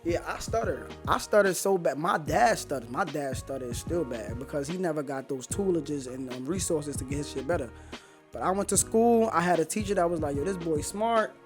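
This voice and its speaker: A man, a Creole speaker from Haiti. He talks as a podcast guest in animated, profanity-laced slang, telling personal stories casually. Faint music sits behind him. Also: on the high side, brisk. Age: 20s.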